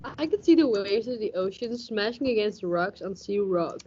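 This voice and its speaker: A young woman playing a cynical, hardboiled police lieutenant with deadpan, tough-talking noir narration in a radio play parody. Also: medium pitch, moderate pace, background noise, close to an everyday voice. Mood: brooding, deadpan. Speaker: student radio play actor. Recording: dull, muffled lo-fi recording.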